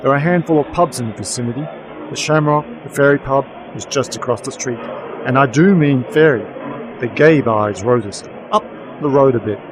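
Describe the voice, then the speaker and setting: A man's voice: mid-range voice, brisk, background noise, lightly acted. A man playing a weary, sardonic werewolf private investigator, narrating a noir radio drama in a dry, wry manner. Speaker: narrator and lead.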